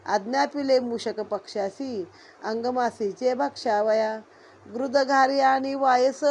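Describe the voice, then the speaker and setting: A woman reading a devotional verse story aloud in a measured, sing-song recitation. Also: fairly high pitch, darkish tone, slight background noise. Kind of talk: slow rhythmic reading of religious verse.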